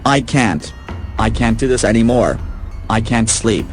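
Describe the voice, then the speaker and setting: A man's voice: fairly low pitch, a little slow, faint music behind. A man playing a bitter, sleepless young man who snaps at his family. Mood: sullen. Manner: sullen and snappish.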